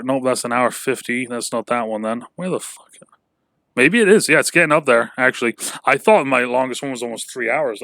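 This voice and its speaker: A man's voice: mid-range voice, vocal fry, a bit bright, no echo. A man in his twenties hosting a podcast, chatting casually with lots of fillers, restarts and swearing. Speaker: podcast host. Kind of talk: casual chat.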